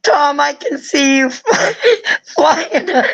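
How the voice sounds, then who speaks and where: A woman's voice: high-pitched, lively intonation, slow, gravelly, muffled recording. A woman calling in to a radio talk show who teases and giggles through her words. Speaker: caller. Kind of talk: casual phone-in chat.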